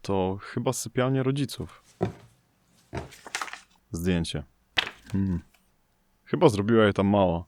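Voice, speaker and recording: Man playing a low-voiced, grim lone searcher. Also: animated, slow, long pauses, noisy background. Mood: puzzled, somber. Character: weary male searcher exploring storm-ravaged homes, grim, solitary. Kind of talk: Polish audio drama narration and acting.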